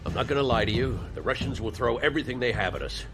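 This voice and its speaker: An actor playing a tough, blunt male army colonel who speaks in a gruff, commanding way, with music behind. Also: mid-range voice, expressive, quick pace, natural voice. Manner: gruff, commanding, scornful of officials. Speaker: commanding officer. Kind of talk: game cutscene acting.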